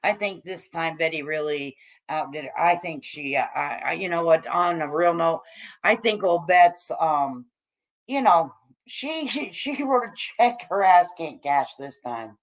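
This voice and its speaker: A middle-aged or older American woman hosting a casual livestream, chatting with viewers in a salty, slangy, irreverent way on the slightly low side. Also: lively intonation, measured pace. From United States, raised among hippies. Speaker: livestream host reading and answering chat. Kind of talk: casual livestream chat, joking commentary.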